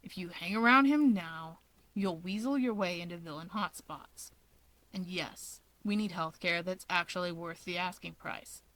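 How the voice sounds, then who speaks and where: A woman's voice: fairly low pitch, moderate pace, fairly bright tone, no echo, close to an everyday voice, rough recording. A woman voicing a nagging, anxious older brother in a fan story, terse, sardonic and exasperated.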